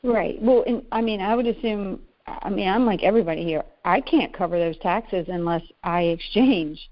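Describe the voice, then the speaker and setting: A woman's voice: medium pitch, normal speed. A middle-aged woman caller talking casually and plainly, hesitant and often restarting her sentences as she asks questions. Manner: hesitant, self-interrupting, plain-spoken. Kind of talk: casual question-and-answer talk. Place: conference call. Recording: muffled, narrow-band conference call audio.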